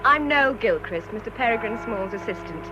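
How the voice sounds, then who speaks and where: An actress playing a polite, tactful, well-spoken English television assistant in a British radio drama. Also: female voice, a little high, expressive, moderate pace, over music, natural voice. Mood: polite, nervous. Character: polite, anxious female television producer's assistant. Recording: noisy, dull radio drama recording.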